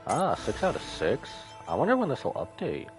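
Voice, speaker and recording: A man's voice: medium pitch, wildly swooping pitch, moderate pace. A man livestreaming a game, casually thinking aloud as he comments. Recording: game music behind the voice. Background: game music.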